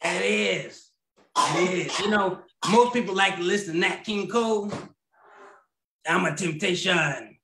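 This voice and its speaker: A man voicing a grumpy old married man puppet, gruff and reluctant, over a video call from an echoey room. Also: sits high, measured pace, sharp tone, exaggerated voice. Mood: grumbly. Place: home living room.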